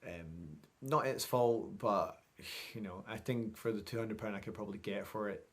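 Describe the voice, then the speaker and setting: Low-voiced man vlogging casually. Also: moderate pace. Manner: chatty, rambling, informal. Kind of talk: casual vlog recap to camera.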